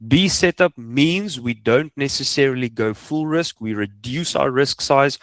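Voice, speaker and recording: A man's voice: medium pitch, no echo. A man with a South African Afrikaans accent, a native Afrikaans speaker, coaching informally and emphatically, repeating points and asking rhetorical questions. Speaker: trading coach. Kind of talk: informal instructional screen walkthrough.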